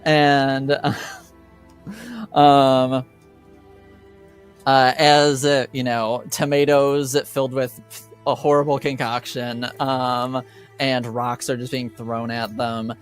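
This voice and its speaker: A man improvising tabletop game narration as game master, speaking casually and haltingly. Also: mid-range voice, fairly even intonation, soft background music.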